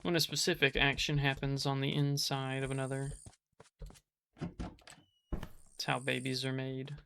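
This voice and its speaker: Monotone man with a gravelly edge. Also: medium pitch, unhurried, a little hiss or hum. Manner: monotone, kept quiet; rambling with many restarts. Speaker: gaming channel host. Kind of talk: casual gameplay commentary. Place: apartment.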